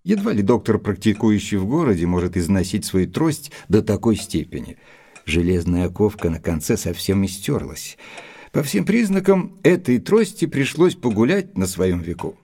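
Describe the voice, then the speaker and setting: An actor playing an earnest, admiring gentleman companion, narrating in a measured, literary audio drama style. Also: lowish voice, very animated, slightly rough, exaggerated voice. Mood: thoughtful.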